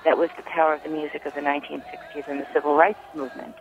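A woman's voice: low-pitched, little pitch movement, rapid-fire, slight background noise. An older woman leaving a firm, emphatic voicemail as a caller. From North Carolina. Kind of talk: voicemail message. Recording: tinny phone line.

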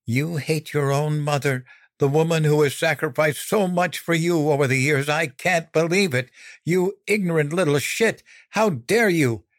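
A man's voice: on the high side, normal speed, no echo, clean studio sound, voice pushed up, close to an everyday voice. A man voicing a furious, domineering mother in a dramatic audiobook, stern, indignant and emphatic as the character scolds a child. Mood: outraged.

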